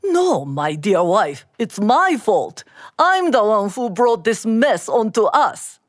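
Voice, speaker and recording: A woman voicing a gentle, worried husband in a folktale, earnest and deliberate. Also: fairly high pitch, very animated, no room sound, studio quality, heavily acted. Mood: sorrowful. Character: gentle, worried Chinese husband and cricket trainer.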